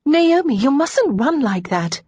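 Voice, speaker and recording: Woman acting young genteel widow, earnest, grave, softly husky, expressive pitch, audiobook. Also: unhurried, no echo. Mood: alarmed. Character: young widowed lady, gentle, composed, earnest. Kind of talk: audiobook character dialogue.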